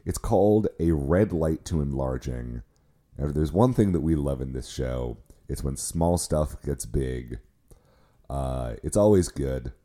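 A man hosting a casual podcast, his voice hoarse and lowered by illness, chatty and joking with many fillers. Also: lively intonation, unhurried, warm tone. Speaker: podcast host. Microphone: recording headset.

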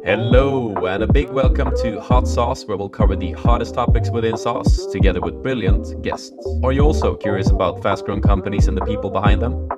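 A man's voice: fairly low pitch, fairly quick, hardly any pauses, loud music behind the voice. A man hosting a podcast, reading a scripted intro, then asking questions hesitantly with many filler sounds. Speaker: podcast host.

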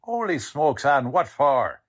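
An actor playing an impatient, sarcastic, tough-talking police detective in a 1940s-style radio drama. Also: male voice, a little high, big pitch swings, takes it slowly, fairly bright tone, husky, full character voice. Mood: exasperated.

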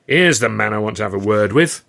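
A man's voice: lowish voice, animated, a little fast, gravelly, fairly bright tone. Man acting a pompous, grandiose showman boss. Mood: conspiratorial. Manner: pompous, theatrical speechifying. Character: grandiose showman boss, a smug, avuncular, domineering Englishman.

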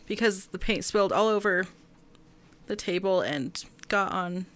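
Female podcast co-host, mid-pitched, very unhurried, tentative and explanatory, casual chat. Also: fairly bright tone. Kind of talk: casual film discussion.

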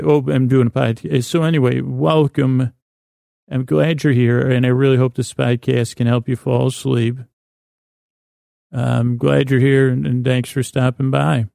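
A man hosting a bedtime podcast in a meandering, halting monologue, whimsical and self-deprecating, often trailing off mid-thought. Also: medium pitch, vocal fry, dark tone, no echo, studio quality. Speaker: podcast host. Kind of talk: rambling bedtime monologue for listeners to fall asleep to.

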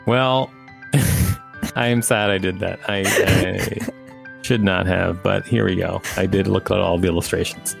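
A man's voice: low-pitched, steady tone, measured pace, gravelly, faint music behind. A man co-hosting a podcast, mixing casual banter with reading an article aloud.

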